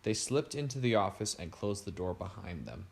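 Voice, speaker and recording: A man narrating a storybook aloud in a steady reading voice. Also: lowish voice, normal speed, gravelly.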